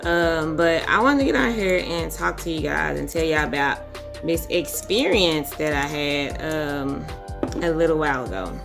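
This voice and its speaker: Young woman, hoarse and husky, relaxed chatty vlogger, clear music bed. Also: low voice, moderate pace. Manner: relaxed, chatty, says y'all and um often. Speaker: beauty vlogger. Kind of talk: casual storytelling chit chat.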